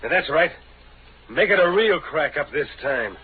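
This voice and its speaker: A man's voice: on the high side, expressive, unhurried. An actor playing a slippery, pompous, scheming businessman in an old radio drama style. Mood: scornful, plotting. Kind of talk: 1930s radio acting. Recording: scratchy, narrow old radio recording.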